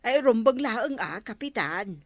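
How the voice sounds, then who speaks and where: A woman's voice: a little high, lively intonation, measured pace, no room sound, clean studio sound. An eager village woman. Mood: reporting. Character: village woman reporting caught thieves. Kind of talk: radio drama acting.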